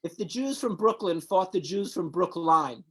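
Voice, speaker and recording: High-voiced, teasing male host. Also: expressive, moderate pace, a bit bright, breathy edge. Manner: playful, teasing, mock-serious. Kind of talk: casual interview chat.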